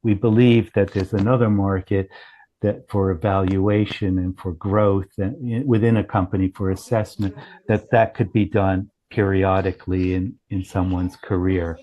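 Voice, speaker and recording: A man explaining a product demo as a company founder, in a halting, self-correcting way with many broken starts. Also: deep voice, level tone, mellow, dull audio. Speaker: company founder and chief executive.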